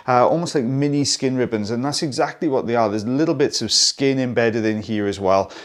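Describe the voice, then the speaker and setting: Friendly Welsh man explaining a procedure. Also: medium pitch. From South Wales. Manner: friendly, explanatory, with asides to viewers. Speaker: audiologist presenting ear wax removal. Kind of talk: narrated procedure commentary.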